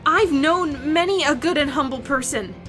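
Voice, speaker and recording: Woman acting high, bright, pleading teenage village girl. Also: moderate pace, soft background music. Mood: earnest, distressed. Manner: earnest, urgent pleading. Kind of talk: dramatized fantasy audiobook acting.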